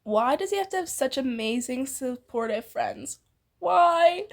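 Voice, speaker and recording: A woman's voice: high voice, animated, a little slow, creaky. A woman reading fan fiction aloud informally, giggly and theatrical, often breaking off into banter.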